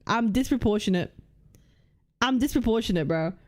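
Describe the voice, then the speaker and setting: Casting: young woman streamer, fairly high voice, blunt and crude, chatty and offhand. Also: lively intonation, normal speed, darkish tone. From United Kingdom. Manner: blunt, swears freely, thinks aloud while reading lists. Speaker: livestream host. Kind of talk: casual livestream chat reading chat aloud.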